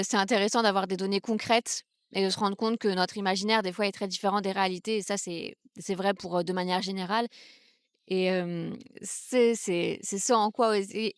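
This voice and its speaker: Reflective young woman, smooth clear voice. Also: mid-range voice, dry recording, studio quality. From Brittany, France. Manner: thoughtful, hesitant, many fillers and restarts. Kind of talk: casual reflective vlog talk. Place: outdoors in the countryside, seated on a wooden stool.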